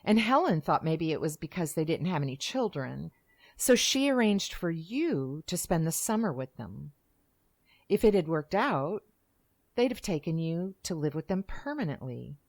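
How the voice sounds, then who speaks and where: A fairly low-voiced mother played by a woman chats matter-of-factly with lively swoops in pitch. The delivery is expressive, old-time radio acting. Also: moderate pace, heavily acted. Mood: chatty, sympathetic. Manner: expressive, exclamatory. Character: chatty, matter-of-fact mother of a teenage girl.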